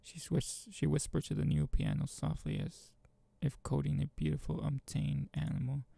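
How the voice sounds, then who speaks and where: A man reading a visual novel aloud and commenting casually as a channel host, informal and halting, with a gravelly, husky voice. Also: fairly high pitch, level tone, mellow, no room sound.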